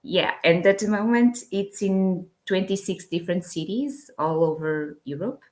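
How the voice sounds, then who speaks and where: A woman's voice: mid-range voice, a little slow, darkish tone. A Portuguese woman speaking English as a guest in a casual interview, hesitant, with many fillers. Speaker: guest from a language exchange project.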